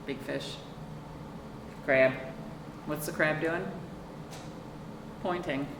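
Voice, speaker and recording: A middle-aged woman hosting a children's storytime, reading aloud with chatty asides, expressive drawn-out emphasis and questions to young listeners. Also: deep voice. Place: small indoor room, seated on a couch. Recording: roomy, distant mic with clear background noise.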